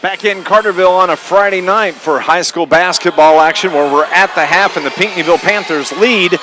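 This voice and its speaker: An American man calling high school basketball live on radio in a conversational way, from a gymnasium. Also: brisk, noisy background. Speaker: radio play-by-play sports announcer.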